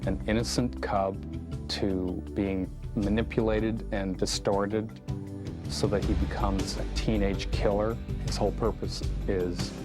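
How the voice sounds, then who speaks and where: A man's voice: on the low side, level tone, a little slow. A man speaking as a film director in an interview, explaining thoughtfully and stressing points by repeating words. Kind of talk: documentary interview, reflective explanation. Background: music.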